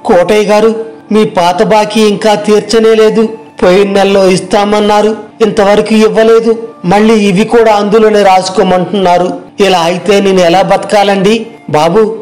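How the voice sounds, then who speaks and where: A man's voice: high-pitched, fairly even intonation, moderate pace, a little mellow, faint music behind, lightly acted. A man voicing an earnest, determined young village tea seller in an acted moral story. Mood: pleading.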